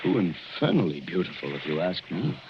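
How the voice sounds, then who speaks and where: An actor playing a skeptical young newlywed husband, wry and exasperated, in 1940s radio style. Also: male voice, low-pitched, big pitch swings, fairly quick. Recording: muffled, noisy old radio recording.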